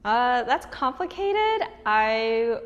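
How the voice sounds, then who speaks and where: A woman's voice: on the high side, very animated, drawn-out words, highs cut off. Young woman with fillers, reverberant room. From Hawaii. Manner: conversational, with many fillers and restarts. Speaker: tech mentor and interviewee. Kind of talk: documentary interview. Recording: long reverb.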